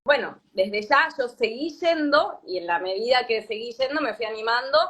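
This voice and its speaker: A woman in her thirties with an Argentine Spanish accent, from Patagonia, telling her story as a guest in an interview, thoughtful and pausing often with fillers. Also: on the high side, expressive, a bit bright. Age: 30s. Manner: thoughtful, many fillers and restarts. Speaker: yoga teacher, guest. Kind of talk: reflective interview talk.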